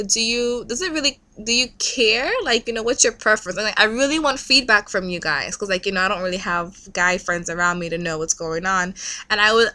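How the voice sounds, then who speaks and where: A woman's voice: mid-range voice, bright, forceful tone. A young woman from Trinidad talking candidly in a casual vlog, rambling and peppering her speech with "like". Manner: chatty, candid, heavy use of "like". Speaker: vlogger.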